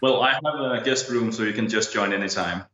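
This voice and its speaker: A man's voice: lowish voice, fast, heavy background noise. A man in his thirties from Denmark with a Danish accent, a photographer speaking easygoing English as a guest in a casual video-call interview. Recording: roomy, slightly dull video-call audio.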